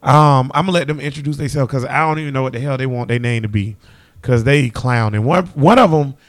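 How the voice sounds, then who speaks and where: A man, hosting a podcast and teasing his guests with loud laughter. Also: medium pitch, brisk. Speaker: podcast host. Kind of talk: casual podcast banter.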